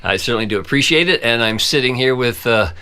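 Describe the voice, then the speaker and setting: A man's voice: mid-range voice, expressive, quick pace, bright, forceful tone, clean tone. A man hosting a casual interview, friendly and echoing his guest's answers. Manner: friendly, echoes answers with laughs. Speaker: interview host. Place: home in the south of France.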